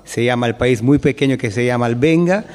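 A man from Italy, a native Italian speaker, answering as an interview guest with many pauses and fillers. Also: medium pitch, a little mellow, big-room sound. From Italy, near Genoa.

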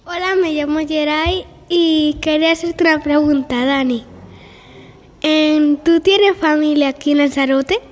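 Female interviewer, high and plain, reading simple questions in a reverberant hall. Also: fairly even intonation, unhurried. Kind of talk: school radio interview.

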